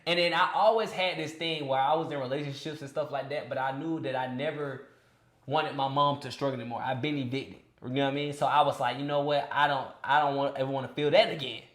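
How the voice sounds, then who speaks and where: A man's voice: medium pitch, fairly even intonation, fast talker, a bit bright. A man in his twenties talking casually on a podcast, loose and earnest, often adding "you feel me" and "bro". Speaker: podcast speaker. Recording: echoey room.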